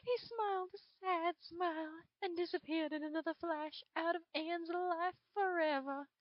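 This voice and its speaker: A woman's voice: very high-pitched, normal speed, no echo, lo-fi sound. A woman voicing a drawling southern dragon. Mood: dramatic. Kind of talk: reading fan fiction aloud.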